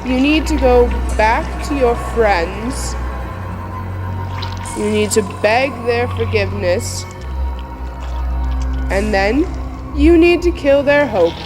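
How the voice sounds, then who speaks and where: A woman's voice: fairly high pitch, lively intonation, takes it slowly, pauses often, over music. A woman playing a sinister, seductive tempter in an audio drama, coaxing and menacing. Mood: coaxing menace. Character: sinister seductive female tempter bargaining for a soul.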